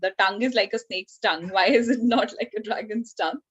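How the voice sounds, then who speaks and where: A woman's voice: mid-range voice, brisk, breathy edge, muffled recording. A woman hosting a children's online session, politely relaying questions and giving thanks. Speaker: host moderating a children's session.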